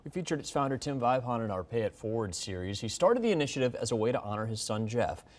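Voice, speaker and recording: A man's voice: medium pitch, very animated, fast talker. A man reading scripted local news as a television anchor.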